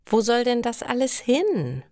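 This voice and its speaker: Woman reading as a slow, expressive young mother. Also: a little high, no room sound, studio quality, pitched above the performer's own voice. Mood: fretful. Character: overwhelmed young new mother in a learner story.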